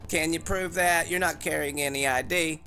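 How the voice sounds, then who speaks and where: A man voicing an officious, well-groomed government interrogator in a suit, with a brisk, clipped, bureaucratic manner, in an audiobook. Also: fairly high pitch, fairly even intonation, vocal fry, sharp tone, faint music behind, pitched above the performer's own voice. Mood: pressing.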